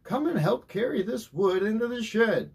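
A man's voice: high-pitched, expressive, moderate pace, darkish tone, slightly rough, voice pushed up, heavily acted. A man voicing a patient but firm father in a storybook, emphatic and coaxing. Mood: insistent calling. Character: patient, firm father calling his son.

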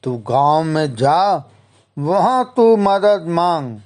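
A man's voice: fairly high pitch, lively intonation, takes it slowly, a little mellow. A man playing a frail, resigned old villager in a cartoon story. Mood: regretful.

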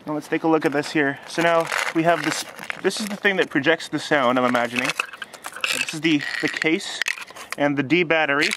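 Teen guy vlogging outside, kinda high voice, offhand chatty, noisy camera mic. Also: brisk. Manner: offhand, informal. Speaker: host of an online video series. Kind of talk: casual vlog chat and demonstration. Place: outdoors in a snowy yard. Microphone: handheld camera at arm's length or set nearby.

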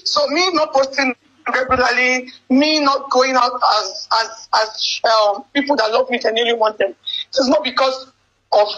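A young woman in an emotional personal phone conversation, speaking haltingly and often repeating her words. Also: high-pitched, steady tone, a bit bright. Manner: halting, repetitive phrasing. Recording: band-limited leaked phone call with music. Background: music.

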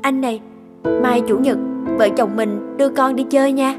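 A woman voicing a hurt, reproachful young wife and mother in a cartoon, with loud music behind her. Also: high-pitched, steady tone, normal speed, close to an everyday voice. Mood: requesting. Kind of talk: animated cartoon voice acting. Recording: loud background music over voice.

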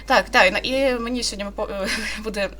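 A woman's voice: fairly high pitch, fairly quick, sharp tone. A woman in her twenties giving casual let's-play commentary in a hesitant, rambling way, often correcting herself. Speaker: gaming video host. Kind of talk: casual let's-play commentary, reading game dialogue aloud.

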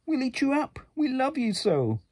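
A man voicing big, clingy monsters from a storybook, crying out in a high squeaky voice. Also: wildly swooping pitch, moderate pace, darkish tone, husky, no room sound, full character voice. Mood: pleading. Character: big pleading shaggy monsters, loving and clingy.

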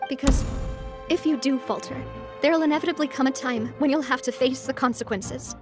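A female actor as a young woman who leads a gang in a video game. Her voice is high and earnest, heartfelt and determined, with soft music behind it. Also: a little fast, natural voice. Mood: pensive. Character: young female boss of a crime family, earnest and resolute.

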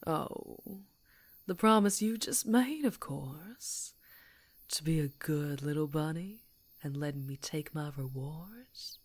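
A woman playing a female werewolf in an intimate ASMR roleplay, predatory yet tender, coaxing in a breathy voice that often drops to whispers. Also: sits low, animated, takes it slowly, full character voice. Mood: affectionate, playful.

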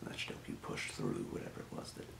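A man playing a calm fantasy potion shopkeeper in a breathy, measured, courteous whisper for an ASMR role play. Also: roomy sound, background noise, lightly acted. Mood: calm, helpful. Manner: measured, murmuring, courteous merchant patter. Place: small indoor room.

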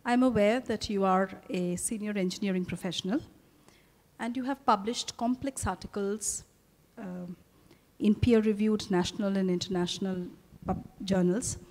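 A woman's voice: mid-range voice, normal speed, darkish tone. Female interviewer, middle-aged, Indian accent, articulate and formal, mid-range voice through a mic in a reverberant ballroom. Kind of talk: formal on-stage interview introduction. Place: hotel ballroom stage. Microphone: handheld microphone. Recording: echoey ballroom with long reverb.

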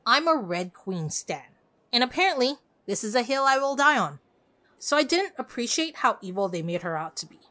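Expressive woman podcaster, very lively intonation. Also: a little high, normal speed. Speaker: podcast host. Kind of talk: scripted solo podcast review.

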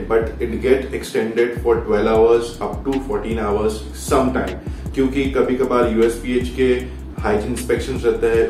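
A man's voice: fairly low pitch, darkish tone. A young man vlogging about cruise ship jobs in casual, instructive talk, switching between Hindi and English and often checking in with "theek hai". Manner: mixes Hindi and English, repeats "ठीक है" and "आपको बताना चाहूंगा". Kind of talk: informal instructional talk to camera. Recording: roomy echo, distant mic, faint music behind.

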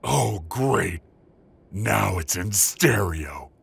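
A man playing a wounded, stubborn dragon-shifter henchman in an audio roleplay, gravelly, strained and cheekily sarcastic. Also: lowish voice, expressive, very slow, heavy background noise, heavily acted. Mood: sarcastic, weary.

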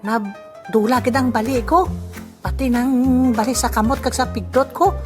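A performer voicing a friendly elderly village man in a comic audio drama, chatting in a halting, repetitive, rambling way. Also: medium pitch, fairly even intonation, lightly acted. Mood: friendly, relieved. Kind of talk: amateur comedy audio drama dialogue. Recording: music playing clearly behind the voice.

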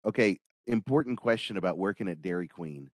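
A middle-aged man hosts a relaxed video-call interview in a lowish voice. He asks questions in a friendly, joking way, and the sound is dry and close. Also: fairly quick, breathy edge, dry recording. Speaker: host.

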